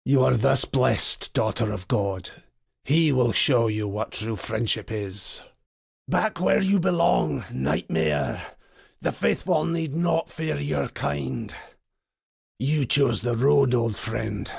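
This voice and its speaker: A man voicing a devout, grim, righteous old templar in a video game, solemn and preacherly, with a gravelly, husky voice. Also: mid-range voice, no echo, clean studio sound, exaggerated voice. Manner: solemn, pious, archaic preacherly phrasing. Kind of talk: dramatic video game character voice lines.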